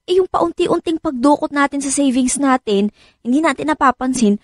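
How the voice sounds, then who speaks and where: An actress with a Filipino accent playing an anxious, practical wife in a radio drama, probing and gently pleading in a conversational way. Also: female voice, sits high, expressive, moderate pace, no echo, studio quality. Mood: worried, careful.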